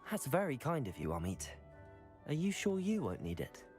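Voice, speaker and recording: A man playing a polite, curious teenage wizard student in a video game, with a measured, courteous delivery. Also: medium pitch, huge pitch swings, darkish tone, soft background music. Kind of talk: video game cutscene acting.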